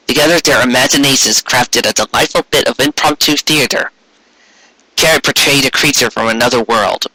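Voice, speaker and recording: A man reading a story aloud in an expressive, theatrical way, lingering over lists of words. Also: medium pitch, no echo, rough recording. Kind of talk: expressive scripted narration with occasional asides.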